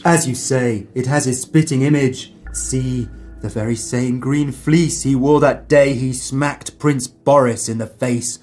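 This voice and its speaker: A man's voice: medium pitch, fairly even intonation, measured pace, a little mellow, faint music behind, close to an everyday voice. A man playing a brainy, confident palace guard in a children's story, with eloquent, mock-Shakespearean delivery. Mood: grave, eloquent.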